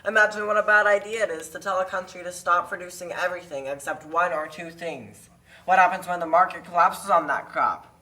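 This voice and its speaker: A man voices a small farmer trying to provide for his family in a puppet play. The voice sits high for a man and is sharply bright. He sounds earnest, anxious and plaintive. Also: steady tone, brisk. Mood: indignant. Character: struggling Nicaraguan small farmer, weary anxious father. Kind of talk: amateur political puppet show.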